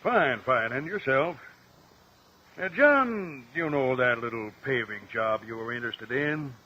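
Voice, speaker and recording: Blustering, cornered crooked politician, animated. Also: male voice, medium pitch, unhurried, dull audio. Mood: strained, ingratiating. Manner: blustering, exasperated. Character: blustering, cornered, corrupt male local politician. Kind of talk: vintage radio crime drama acting. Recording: noisy old radio drama recording.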